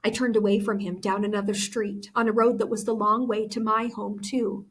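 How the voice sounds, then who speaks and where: A woman's voice: medium pitch, flat delivery, normal speed, slightly rough. A woman teacher reading a novel aloud expressively to students. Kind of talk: expressive book read-aloud.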